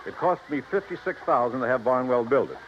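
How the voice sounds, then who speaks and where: A man's voice: medium pitch, animated, fairly quick, lightly acted. A man acting a proud, secretive, impatient dairy ranch owner in a 1950s radio drama, speaking formally and precisely. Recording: muffled noisy old radio recording.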